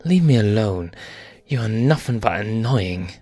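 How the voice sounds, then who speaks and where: A man's voice: fairly low pitch, animated, unhurried, dark tone, faint music behind. A man voicing a feisty young female lion cub in an amateur comic dub, with a scolding, emphatic manner. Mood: annoyed, angry. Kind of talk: amateur comic fandub acting.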